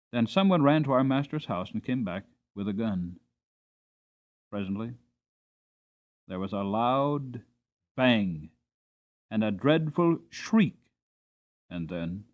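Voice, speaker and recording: A man reading a storybook aloud as narrator, dramatically, with deliberate, emphatic phrasing. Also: on the low side, phone-quality sound. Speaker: audiobook narrator.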